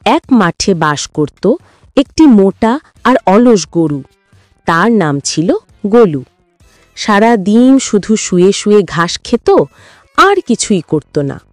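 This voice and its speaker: Woman, children's storyteller, scripted narration, lively intonation, close dry sound. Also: medium pitch, slow, pauses often, no room sound, faint music behind.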